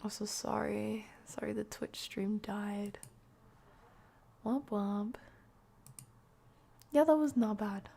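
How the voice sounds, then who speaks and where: Woman, young adult, game streamer, breathy half-whisper, slow, dark mellow tone. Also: mid-range voice, fairly even intonation, slight background noise. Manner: soft, breathy half-whisper, laid-back. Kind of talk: casual let's-play chat.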